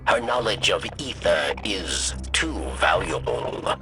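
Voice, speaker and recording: A man playing a courteous, wry alien go-between in a video game, with formal, halting phrasing. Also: deep voice, animated. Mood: measured, wry. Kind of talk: dramatic game voice acting. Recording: loud music under the voice.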